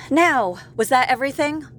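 A woman's voice: sits high, lively intonation, measured pace, fairly bright tone, slight background noise. A woman playing an exasperated, sardonic agency boss in a comedy audio drama. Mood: sarcastic.

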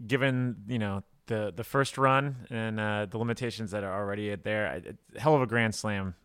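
Bright, crisp-voiced enthusiastic man. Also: fairly low pitch, steady tone, normal speed, no room sound, studio quality. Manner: enthusiastic, rambling. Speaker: podcast co-host. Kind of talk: casual chat.

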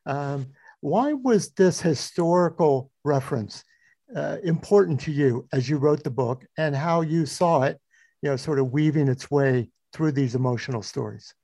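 A male interviewer with a mellow, warm tone, recorded close and dry with no room sound. He muses reflectively and shares his own experiences before posing questions. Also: fairly high pitch, normal speed, dry recording. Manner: reflective, personal asides. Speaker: interview host. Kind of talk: conversational interview.

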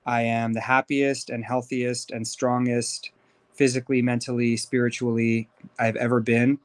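A man's voice: mid-range voice, little pitch movement, normal speed. A thirty-year-old man with an American accent, telling his own story candidly and informally as a podcast guest. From Los Angeles, United States. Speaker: podcast guest, life coach. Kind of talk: conversational personal life story.

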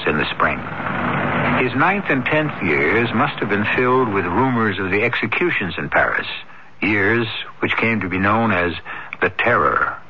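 A man narrating as the host of a radio drama series, in a formal, measured, scripted storytelling style. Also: on the low side, animated, a little hiss or hum. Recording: dull vintage radio broadcast, loud music. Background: loud music.

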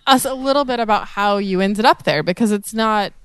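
A woman's voice: medium pitch, fairly quick, clean tone, dry recording. A woman hosting a podcast, reading an upbeat scripted intro and then chatting playfully with her guest. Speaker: podcast host.

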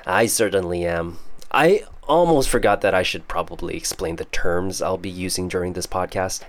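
A young man with a Canadian accent hosting a podcast, casually explaining English idioms with jokes. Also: low voice. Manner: casual, joking, explains terms. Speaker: podcast host. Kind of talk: scripted podcast monologue teaching English idioms.

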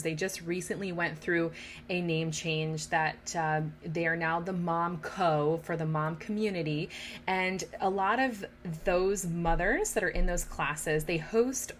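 A woman's voice: low-pitched, slight background noise. A woman in her thirties hosting a casual podcast, talking straight to listening mothers in an encouraging way. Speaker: podcast host.

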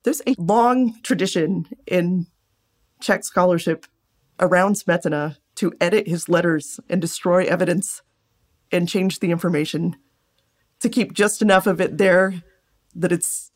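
Low-voiced woman explaining as an expert. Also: unhurried. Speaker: interviewed scholar. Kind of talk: interview answers.

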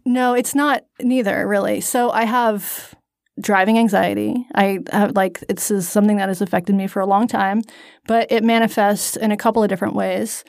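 A woman's voice: mid-range voice, steady tone, moderate pace, vocal fry, a little mellow, no room sound, studio quality. A woman in her thirties co-hosting a podcast in casual chat, candid and self-deprecating, rambling through asides. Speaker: podcast co-host.

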